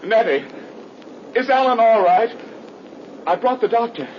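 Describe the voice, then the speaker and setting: An earnest, troubled young man in a 1930s radio melodrama. His middle-pitched voice moves with great expression, and the recording is dull and full of old hiss. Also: animated, unhurried. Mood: anxious. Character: earnest, anguished young man, a devoted brother. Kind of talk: 1930s radio horror acting.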